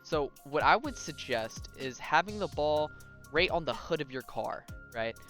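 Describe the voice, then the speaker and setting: A man's voice: on the high side, animated, a little slow, bright, forceful tone, soft background music. A young man giving a casual video game tutorial, talking informally and directly to viewers and often checking in with them. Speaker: gaming tutorial host.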